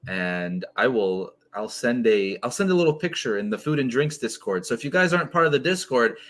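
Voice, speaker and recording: A man's voice: medium pitch, expressive, brisk, fairly bright tone. Laid-back man in his thirties. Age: 30s. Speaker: co-host. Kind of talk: casual live chat.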